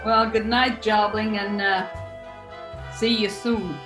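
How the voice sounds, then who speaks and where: A woman's voice: medium pitch, steady tone, takes it slowly, a bit bright, soft background music. A woman playing a bossy male gangster club owner with a New York accent, menacing yet genial, in a 1940s radio comedy. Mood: smooth, genial. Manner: bossy, smooth-talking. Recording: echoey room.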